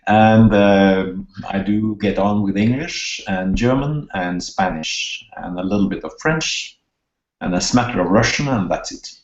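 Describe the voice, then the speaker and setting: A man from Norway with a Norwegian accent, a student casually introducing himself in a halting, self-correcting way over a video call. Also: fairly low pitch. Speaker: online course student, social scientist. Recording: echoey, muffled lo-fi video call.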